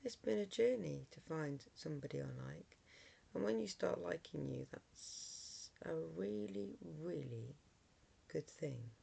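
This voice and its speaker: Hoarse, gravelly-voiced middle-aged vlogger. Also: mid-range voice, lively intonation, unhurried, mellow. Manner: rambling, many ums and restarts. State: hoarse from a cold. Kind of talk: casual talk to camera.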